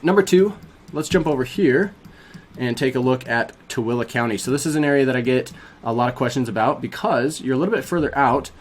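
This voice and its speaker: Young male vlogger, brisk and friendly, slightly congested, recorded in a small home studio. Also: medium pitch. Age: young adult. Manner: upbeat, fast-talking, addresses viewers as guys. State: a bit sniffly from a cold. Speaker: real estate agent. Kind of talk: casual explainer vlog.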